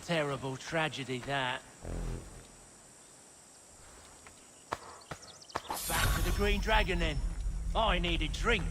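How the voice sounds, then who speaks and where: An actor playing a rough, cheeky, greedy hired brawler in a video game, talking in irreverent, ungrammatical slang. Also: male voice, on the high side, normal speed, noisy background, close to an everyday voice. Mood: sardonic, eager. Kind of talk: video game cutscene acting.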